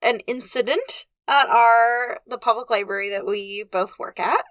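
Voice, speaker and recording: A young woman co-hosting a podcast in casual chat, loud and animated, recorded in a small booth. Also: fairly high pitch, a little slow, no room sound. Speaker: podcast co-host.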